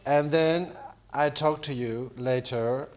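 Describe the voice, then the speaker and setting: A man from France, a champagne producer telling stories in a rambling, animated way, full of restarts and "you know". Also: mid-range voice, slow, slight background noise. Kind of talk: storytelling in casual conversation.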